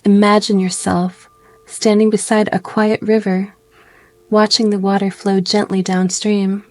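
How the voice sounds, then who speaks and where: A woman guiding a meditation in a gentle, soothing way, speaking in slow phrases with pauses. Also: mid-range voice, little pitch movement, dry recording. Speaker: meditation guide. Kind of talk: guided meditation narration.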